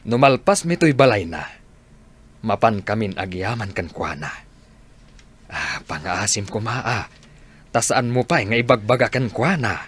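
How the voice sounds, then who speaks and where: A man with an Ilocano accent acting a steady, grateful crew boss in a radio drama. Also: fairly low pitch, a little slow, pauses often, breathy edge, full character voice. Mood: hesitant, pleading.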